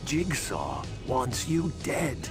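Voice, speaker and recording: A man's voice: mid-range voice, wildly swooping pitch, takes it slowly. A man playing a talkative, sardonic police interrogator in a video game, in a conversational manner. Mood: urgent. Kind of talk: video game cutscene acting. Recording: music behind the voice.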